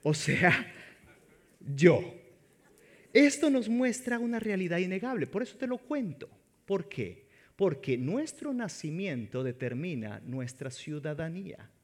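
Man, pastor, from El Salvador, animated joking sermon, bright high voice, swinging pitch. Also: a little slow. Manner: animated, joking, addresses the congregation directly.

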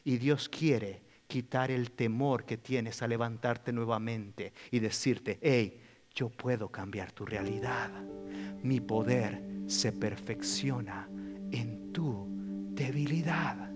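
A man preaching a sermon as pastor, swinging between hushed passages and loud, emphatic peaks. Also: mid-range voice, slow pace, faint music behind. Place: church hall. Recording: reverberant church hall.

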